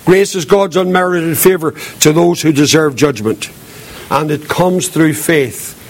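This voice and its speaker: A man's voice: on the high side, a little slow. A man delivering an evangelical sermon as a preacher, earnest and solemn, repeating key phrases for emphasis.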